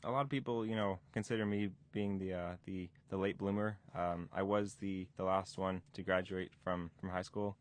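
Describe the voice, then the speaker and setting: Man, 20, very low voice, halting and filler-heavy. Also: steady tone. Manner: halting, many restarts and fillers. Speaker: DJ instructor. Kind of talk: recorded interview recollection. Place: museum interview room.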